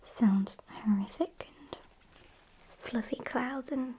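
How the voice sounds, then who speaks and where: A British woman voicing a sassy, politely menacing vampire kidnapper in a breathy, deadpan ASMR whisper. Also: fairly high pitch, slight background noise. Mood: chatty, sassy. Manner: unhurried, chatty, deadpan.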